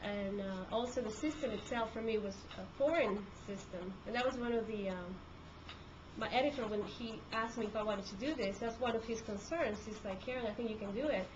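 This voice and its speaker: A woman's voice: medium pitch, brisk. A woman from Peru whose first language is Spanish, speaking with a Peruvian Spanish accent as a court reporter, talking conversationally to a group with many fillers and restarts. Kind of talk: talk to a group. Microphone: handheld camcorder. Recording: dull lo-fi camcorder audio with room noise.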